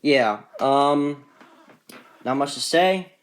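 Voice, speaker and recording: A man's voice: medium pitch, lively intonation, extremely slow. A man casually presenting a collection in an off-the-cuff, rambling, matter-of-fact way, recorded on a handheld phone. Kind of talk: casual off-the-cuff show-and-tell.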